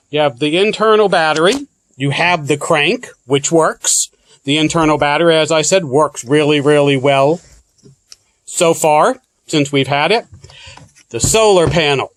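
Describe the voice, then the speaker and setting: A man's voice: a little high, slight background noise. A man giving a plainspoken, informal walkthrough as a product reviewer.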